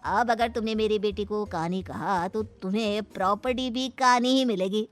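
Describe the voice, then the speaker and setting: A woman's voice: mid-range voice, moderate pace, close to an everyday voice. A woman voicing a sly, smug middle-aged father in a cartoon, talking in a taunting, self-assured way. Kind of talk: scripted Hindi cartoon dialogue. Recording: music behind the voice.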